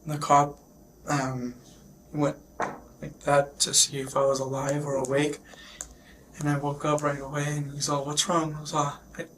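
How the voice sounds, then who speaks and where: Male police interviewee, slightly high monotone voice, shaken and halting, recovering from sickness. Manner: shaken, halting, repeats himself. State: getting over a sickness, shaken up. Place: police questioning, recorded on bodycam. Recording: noisy police bodycam audio.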